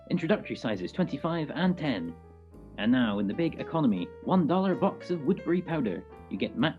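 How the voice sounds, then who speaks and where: A man's voice: medium pitch, lightly acted. A man playing an old-time radio announcer reading scripted promotion, muffled, with music behind. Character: animated male 1940s radio show announcer. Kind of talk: scripted 1940s radio advertisement.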